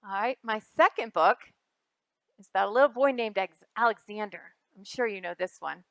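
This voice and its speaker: A woman's voice: mid-range voice, normal speed, creaky, dry recording. A woman reading picture books aloud for a children's storytime, speaking expressively and directly to young listeners.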